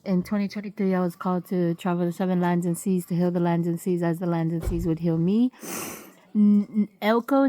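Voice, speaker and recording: A woman recovering from a cold, sniffly, telling travel testimonies in a halting, casual way with many fillers and self-corrections. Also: mid-range voice, mellow, no room sound, low-quality recording. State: getting over a cold, sniffling. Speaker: vlogger sharing travel testimonies.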